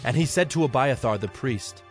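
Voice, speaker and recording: A man narrating scripture from a script in a measured, formal storytelling manner. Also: medium pitch, expressive, soft background music.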